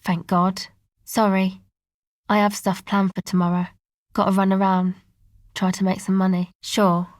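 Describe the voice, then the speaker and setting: A woman playing a laid-back, sardonic young woman in a video game, speaking in a casual, slangy, deadpan way. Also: medium pitch, a little slow, pauses often, dry recording, studio quality, lightly acted. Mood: sardonic, relaxed. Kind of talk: video game character dialogue.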